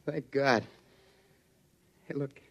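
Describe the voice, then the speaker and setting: A worn-out test pilot, talks very slowly in a middling pitch. He sounds dazed and pleading. It is acted in the manner of 1950s radio drama. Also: creaky, a bit bright, full character voice. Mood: shaken, pleading. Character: Steve, exhausted, shaken test pilot, homesick young father. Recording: 1950s radio broadcast recording.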